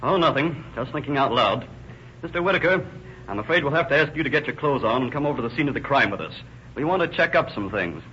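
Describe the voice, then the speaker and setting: An actor playing a probing, authoritative homicide captain in an old-time radio crime drama, questioning pointedly and briskly. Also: male voice, mid-range voice, lively intonation, lightly acted. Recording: muffled, noisy old radio recording.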